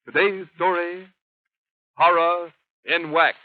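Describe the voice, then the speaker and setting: A man's voice: high-pitched, steady tone, slow. A male announcer in the 1930s radio style, with emphatic, persuasive sales delivery. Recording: tinny, noisy 1930s radio recording.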